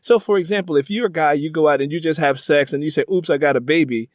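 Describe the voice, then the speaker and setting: A man with a fairly high voice hosts a conversational interview. He reflects as he goes, often restarting and repeating phrases. The sound is dry and close, with very little room to it. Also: fast, dry recording. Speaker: interview host. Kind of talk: conversational radio-style interview.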